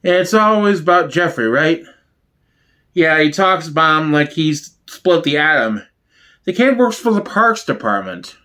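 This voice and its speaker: Man acting as younger sitcom comedian, sardonic, boastful, high-set voice, lively pitch. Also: unhurried, heavily acted.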